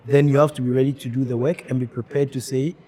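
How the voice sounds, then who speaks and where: A male podcast host who speaks in a measured, reflective way, pausing often as he builds his questions. His voice has a dark, mellow tone and a middle pitch. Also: steady tone, breathy edge. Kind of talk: conversational interview.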